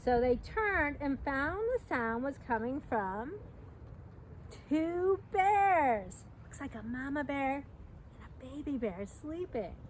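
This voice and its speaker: A middle-aged woman with a high voice reads to children at an unhurried pace. Her delivery is expressive and sing-song, slipping into chatty asides as she goes. She is recorded outdoors with faint noise around her. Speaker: park educator hosting a children's storytime. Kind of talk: reading a picture book aloud to children. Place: outdoors by a fence at a trailhead.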